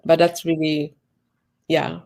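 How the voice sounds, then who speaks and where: A woman's voice: sits low, level tone, slow, vocal fry, darkish tone. A woman born in Uganda and raised in Sweden, chatting as a guest in an interview, talking in loose rambling sentences. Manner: rambling, many restarts and "like"s. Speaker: guest, designer and business owner. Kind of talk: casual interview chat.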